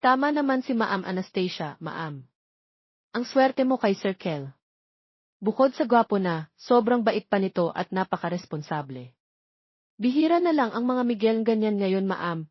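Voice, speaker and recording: A woman with a Filipino accent voicing a chatty, flattering wedding makeup artist in an audio story. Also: medium pitch, normal speed, no echo, muffled recording, lightly acted. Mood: gossipy, admiring.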